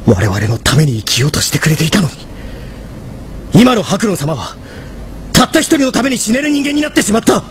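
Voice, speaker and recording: An actor playing a devoted young mafia lieutenant in an audio drama, formal, pleading and reproachful. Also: male voice, sits high, big pitch swings, brisk, long pauses, a little hiss or hum. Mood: impassioned reproach. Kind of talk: Japanese drama CD acting.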